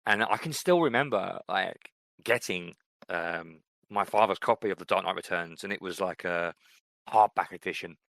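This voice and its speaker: Male podcast co-host in his thirties; low, forceful voice, reflective and rambling. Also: moderate pace, vocal fry, no echo. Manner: reflective, rambling with many fillers and restarts. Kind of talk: casual chat.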